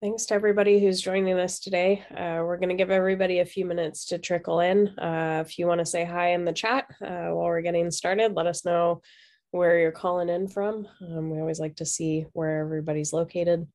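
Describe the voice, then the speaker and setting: A woman's voice: lowish voice, level tone, brisk, a little mellow. A woman hosting a webinar over a video call who is losing her voice, running through housekeeping with many ums. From Seattle. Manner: casual, many filler ums. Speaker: webinar host. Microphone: video call microphone.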